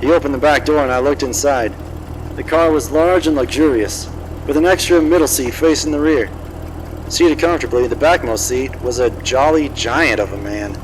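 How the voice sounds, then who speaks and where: An actor playing a cocky, wisecracking old-time private detective in a noir radio drama, wry and swaggering. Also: male voice, medium pitch, normal speed, a little hiss or hum, natural voice, low-quality recording. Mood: hard-boiled narrating. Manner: smooth-talking, wry, swaggering. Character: cocky, wisecracking 1940s hard-boiled male private detective.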